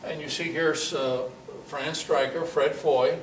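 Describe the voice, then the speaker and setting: An older man giving an informal talk at a club meeting, telling personal anecdotes in a halting, conversational way. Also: fairly high pitch, steady tone, faint music behind. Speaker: guest speaker at a club meeting. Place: meeting room, in front of an audience. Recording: echoey, noisy room recording from far off.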